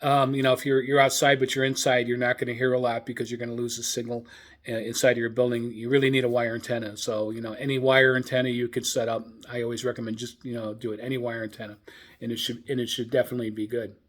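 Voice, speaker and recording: A man hosting a hobbyist radio news show in an informal, halting, hesitant manner. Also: medium pitch, flat delivery.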